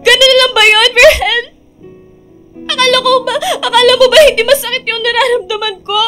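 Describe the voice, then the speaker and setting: A woman's voice: very high-pitched, fairly even intonation, fairly quick, pushed and bright, soft background music. A woman playing a heartbroken young woman in a radio drama, crying and pleading bitterly. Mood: bitter, hurt. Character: heartbroken young woman Shane, bitterly confronting the man she loves. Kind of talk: emotional Filipino radio drama acting.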